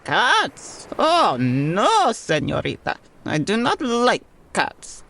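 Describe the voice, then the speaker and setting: A woman's voice: a little high, wildly swooping pitch, slow, creaky, a bit bright, exaggerated voice. A woman playing an eccentric, world-weary old Cuban waterfront woman with a Cuban Spanish accent and dramatic, formal phrasing, in an audio comedy. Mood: deliberate.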